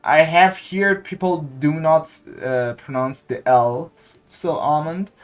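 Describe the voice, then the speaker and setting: A man's voice: a little high, takes it slowly, soft background music. A man from Iran with an Iranian accent, a native Persian speaker, giving casual gameplay commentary and hesitantly searching for English words. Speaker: gaming content creator.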